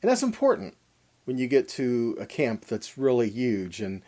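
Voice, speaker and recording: A man's voice: mid-range voice, wildly swooping pitch, normal speed, darkish tone. A man giving a Bible teaching, explaining in a didactic way, posing questions and answering them himself. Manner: didactic, asks and answers own questions, checks in with "okay". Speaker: teacher of a weekly Torah portion.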